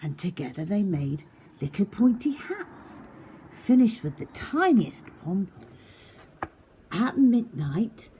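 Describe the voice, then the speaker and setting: A woman reading a children's story as narrator, dramatic and expressive, often dropping into a hushed tone. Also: lowish voice, slight background noise.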